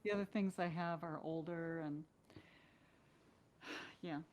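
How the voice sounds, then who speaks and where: A woman's voice: lowish voice, steady tone, slow pace, darkish tone, slight background noise. A woman chatting casually as an interview guest, candid and given to questioning herself. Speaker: guest actress.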